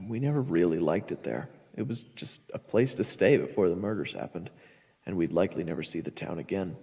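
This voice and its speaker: A man's voice: low voice, vocal fry, warm tone, slightly rough, big-room sound. A man narrating a scripted first-person fantasy story for an audio roleplay.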